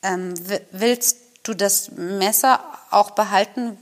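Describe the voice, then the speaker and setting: A woman reading aloud and voicing a timid little girl who speaks hesitantly and softly. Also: mid-range voice, fairly even intonation, slow, in a large hall, close to an everyday voice. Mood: anxious. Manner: hesitant and soft.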